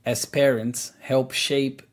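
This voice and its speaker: A man's voice: medium pitch, flat delivery, slow. A man in his thirties co-hosting a spiritual talk, explaining earnestly with many "you know"s and "like"s. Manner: earnest, explanatory, filler-heavy. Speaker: podcast co-host. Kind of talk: casual spiritual discussion.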